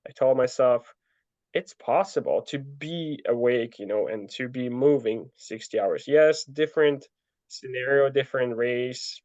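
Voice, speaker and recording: A man's voice: medium pitch, measured pace, no room sound. Man, dry and dull audio. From Ukraine. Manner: relaxed storytelling with many fillers and restarts. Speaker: guest, ultra trail runner. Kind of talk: casual interview answers.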